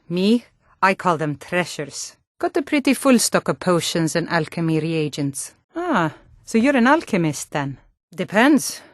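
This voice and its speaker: A woman's voice: on the low side, no echo, clean studio sound. An actress playing a stern, suspicious, hot-tempered Nord townswoman in a fantasy video game, speaking in a blunt, challenging way. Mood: businesslike. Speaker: game character voice.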